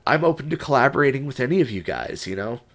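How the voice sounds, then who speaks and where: A man's voice: mid-range voice, lively intonation, fast, a bit bright. A young man with a stuffed-up, congested voice, talking through an unscripted vlog in a rambling, hesitant way full of restarts and fillers. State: stuffed up, recovering from a cold. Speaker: music writer and YouTuber.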